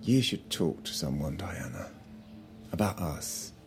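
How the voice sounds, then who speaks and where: An actor playing an earnest, frustrated husband, speaking in a strained, halting, naturalistic way in an audio drama. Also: male voice, sits low, expressive, gravelly, soft background music, exaggerated voice. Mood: awkward, conciliatory.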